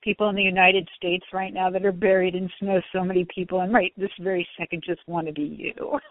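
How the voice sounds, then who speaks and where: A woman's voice: fairly low pitch, level tone, brisk, no room sound. Enthusiastic woman host on a phone line. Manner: enthusiastic, encouraging. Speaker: show host. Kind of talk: casual talk show interview. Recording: phone-like audio.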